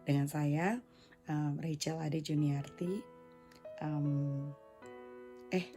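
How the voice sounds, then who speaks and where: A woman's voice: deep voice, mellow. A woman hosting a casual solo podcast, chatting conversationally and mixing in English phrases. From Indonesia. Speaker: podcast and vlog host.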